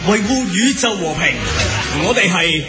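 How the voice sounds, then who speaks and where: A man's voice: high-pitched, lively intonation, unhurried. A man playing an earnest narrator of a superhero cartoon, delivering a scripted dramatic introduction. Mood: earnest, heroic. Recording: music mixed under the voice.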